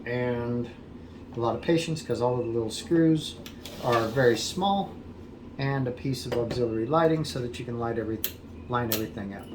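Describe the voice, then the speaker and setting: An American man giving a casual, plain-spoken how-to explanation in a methodical, measured way. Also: medium pitch. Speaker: amateur host of a radio repair video. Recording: echoey room, loud background noise.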